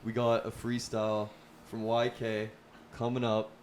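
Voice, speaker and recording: A man's voice: on the low side, completely flat delivery, unhurried, darkish tone, a little hiss or hum. A man in his twenties hosting a podcast, asking questions in an easygoing, encouraging, chatty way. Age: 20s. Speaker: podcast host. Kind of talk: casual interview.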